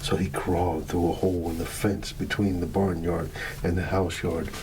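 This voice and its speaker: A man's voice: sits low, flat delivery, moderate pace. A man telling an ASMR bedtime story in a soothing, partly whispered voice, with chatty asides to listeners. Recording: clear background ambient noise.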